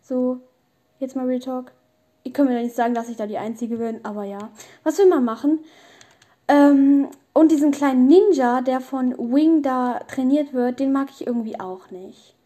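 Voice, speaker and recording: A woman's voice: high-pitched, moderate pace, warm tone. A young woman, hosting a casual solo podcast in a rambling, opinionated way full of youth slang. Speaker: podcast host.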